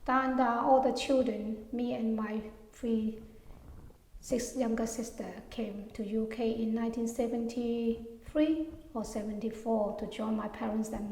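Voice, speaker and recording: Middle-aged woman from Hong Kong, oral history interviewee, fairly high, hesitant with fillers, echoey room with long reverb. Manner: hesitant, many fillers, soft trailing endings.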